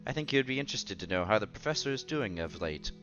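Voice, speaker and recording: Man voicing a courteous, effusive scholarly gentleman. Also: fairly low pitch, quick pace, dull audio, faint music behind. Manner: formal, polite, eager to flatter.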